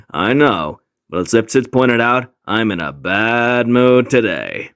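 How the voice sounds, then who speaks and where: A man's voice: medium pitch, lively intonation, takes it slowly, fairly bright tone. A man playing a stern, sarcastic history teacher in a comic dub, dry and sardonic. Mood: mock friendly. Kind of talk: comic fandub acting.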